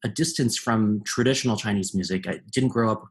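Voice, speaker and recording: A man's voice: lowish voice, fairly quick. A man speaking as a guest in a casual conversation, thoughtful and searching for his words as he goes. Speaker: guest composer.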